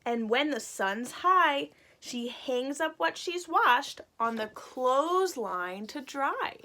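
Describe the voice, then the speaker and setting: A woman reading a picture book aloud to young children, animated and expressive, pausing to explain words simply like a teacher. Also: high voice.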